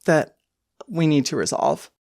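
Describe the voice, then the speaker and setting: A woman voicing an older lady computer program in a tabletop game, polite and apologetic, with gentle endearments. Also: deep voice, animated, a little slow, vocal fry, no room sound, studio quality, heavily acted. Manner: courteous, hesitant, endearments like sweetie and dear.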